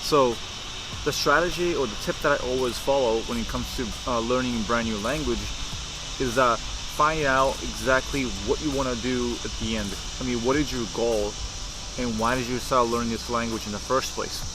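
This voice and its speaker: A young man from Japan with a Japanese accent, casually talking to camera as a vlogger, in a relaxed, unhurried way, pausing to think with fillers. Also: mid-range voice, noisy background.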